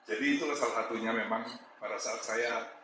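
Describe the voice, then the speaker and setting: A man's voice: medium pitch, flat delivery, brisk. Javanese-accented man in an echoey room. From Malang, East Java. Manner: candid, chuckling storyteller. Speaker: business owner giving a talk. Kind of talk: motivational talk to an audience. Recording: echoey room with background noise.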